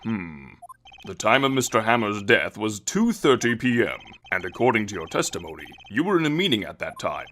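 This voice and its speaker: A man voicing a courteous older courtroom judge in an amateur game fandub, formal and deliberate. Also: fairly low pitch, big pitch swings, bright, forceful tone, a little hiss or hum. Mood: inquiring. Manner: formal, deliberate, mildly bemused. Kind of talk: amateur visual novel fandub.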